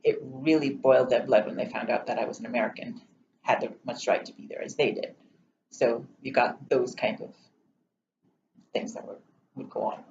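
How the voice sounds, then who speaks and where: A middle-aged woman from Jamaica with a Jamaican accent, recounting her life story in an interview in a measured, candid way. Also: a bass voice, fairly even intonation, darkish tone. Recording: slightly muffled, faint room noise.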